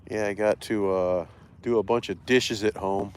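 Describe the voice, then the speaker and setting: A man's voice: fairly low pitch, fairly even intonation, moderate pace, a little mellow, smooth. A man chatting casually on a fishing live stream in a rambling, self-deprecating way, sounding slightly congested from allergies. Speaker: fishing livestreamer. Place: outdoors beside a reservoir.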